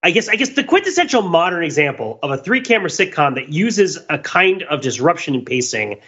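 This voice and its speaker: Male podcast co-host, bright forceful voice, rambling enthusiastic explainer, slightly dull recording. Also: fairly high pitch, sing-song, a little fast. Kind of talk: casual analytical discussion.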